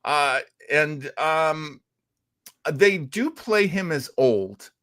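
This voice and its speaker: A man hosting a show, explaining in casual talk with a halting, thinking-aloud delivery. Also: fairly high pitch, lively intonation, a bit bright, no echo, clean studio sound. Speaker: show host. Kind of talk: casual explanatory talk about old radio heroes.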